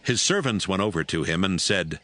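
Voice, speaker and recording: A man's voice: deep voice, animated, brisk, bright, forceful tone. A man narrating a dramatized Bible reading as a scripted storyteller.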